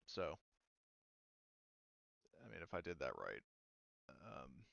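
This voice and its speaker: A man running a tabletop game, explaining rules in a casual, halting, hedging way. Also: a bass voice, very animated, vocal fry, a bit bright, no echo, muffled recording. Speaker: game master.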